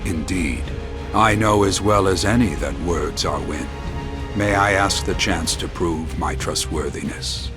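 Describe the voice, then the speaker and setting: A wise, aged former hero of a fantasy game, speaks in a low voice. His phrasing is courtly, archaic and measured, with a self-deprecating streak. Music plays prominently behind him. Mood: calm, persuasive. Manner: formal, archaic, measured diction. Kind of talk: scripted video game acting.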